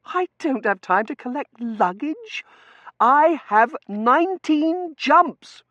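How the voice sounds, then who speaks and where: A man's voice: sits high, huge pitch swings, normal speed, no echo, highs cut off, voice pushed up, exaggerated voice. A man voicing an officious, harried female official in an audiobook, curt and indignant.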